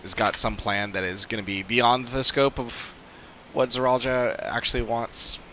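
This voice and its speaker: A man's voice: mid-range voice, flat delivery, normal speed, sharp tone, a little hiss or hum. A man chatting casually and unscripted on a live game stream, rambling as he thinks aloud. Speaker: live streamer playing an online role-playing game. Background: game music.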